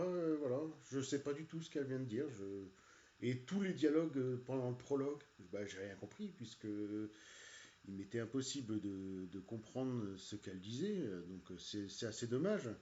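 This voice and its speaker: A man's voice: medium pitch, mellow. A man rambling about a video game while he plays it, hesitant and annoyed, full of fillers and restarts. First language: French. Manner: hesitant, many "euh" and "voilà", annoyed. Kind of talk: casual rant while playing a game. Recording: echoey room, dull lo-fi sound.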